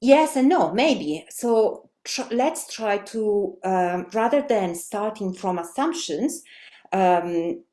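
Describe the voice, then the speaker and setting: A middle-aged woman with an Italian accent, a native Italian speaker, giving a webinar presentation in a halting way with many fillers and restarts. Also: medium pitch, lively intonation, warm tone. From Italy. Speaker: language education presenter.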